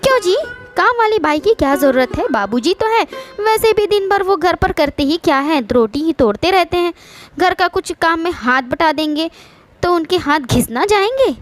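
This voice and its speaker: Woman acting a high-pitched scornful daughter-in-law. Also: expressive, moderate pace. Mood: scornful, complaining. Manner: scolding, sarcastic, commanding. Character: scornful, sharp-tongued young daughter-in-law, bossy and resentful. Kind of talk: Hindi moral story voice acting.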